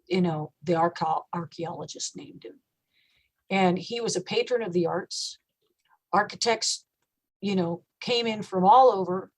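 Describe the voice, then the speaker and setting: Woman, metal vocalist, low voice, relaxed interview talk, lots of "you know" and restarts. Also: rough recording. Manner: relaxed, thoughtful, with frequent fillers and restarts. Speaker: metal singer and guest. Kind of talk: casual interview chat.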